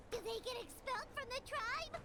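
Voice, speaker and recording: Casting: tiny fairy sidekick for a video game, childlike squeak, very animated, eager and caring. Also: female voice, measured pace, noisy background, full character voice. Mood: anxious, hopeful. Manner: eager, refers to herself by name. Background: loud music.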